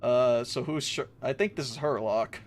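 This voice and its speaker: A male streamer chatting casually and excitably while playing, swearing often. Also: mid-range voice, moderate pace, slight background noise. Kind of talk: casual game stream chat.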